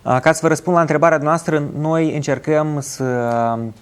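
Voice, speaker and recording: A man speaking as a studio guest from an advocacy organization, slow and halting with many fillers. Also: a little high, clean tone. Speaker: studio guest, NGO representative. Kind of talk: studio discussion. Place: television studio.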